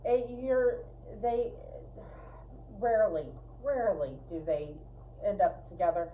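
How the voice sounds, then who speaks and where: A woman's voice: medium pitch, lively intonation, takes it slowly, warm tone, dull audio, slight background noise. A woman co-hosting a livestream, giving a casual craft tutorial in a chatty, emphatic way. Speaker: co-host of a fiber arts livestream. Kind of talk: casual spinning tutorial chat.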